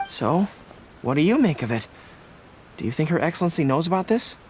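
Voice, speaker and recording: A woman's voice: sits low, sing-song, a little fast, slight background noise. A woman voicing an earnest, polite young official in a video game, speaking a little hesitantly. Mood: earnest, explaining. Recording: loud music behind the voice.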